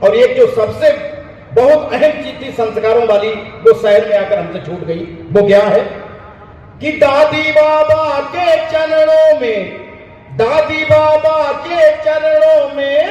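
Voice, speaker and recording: A male poet from India introducing and then reciting a village poem on stage in a slow, melodic chant, repeating each refrain. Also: very high voice, a little mellow, a little hiss or hum. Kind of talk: sung poetry recitation on stage. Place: outdoor festival stage. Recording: echoey dull hall sound from far off.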